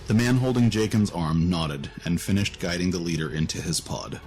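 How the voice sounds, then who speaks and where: A man's voice: low-pitched, lively intonation, normal speed, darkish tone. A man narrating a dramatic audio story from a script. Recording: music behind the voice.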